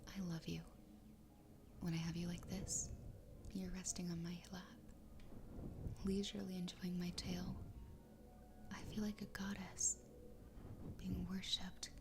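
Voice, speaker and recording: A woman voicing an obsessively loving fox girl wife in a soft, breathy, tender ASMR roleplay whisper. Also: lowish voice, flat delivery, background noise. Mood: adoring, devoted. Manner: tender, slow, intimate. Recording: close whisper over background music. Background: music.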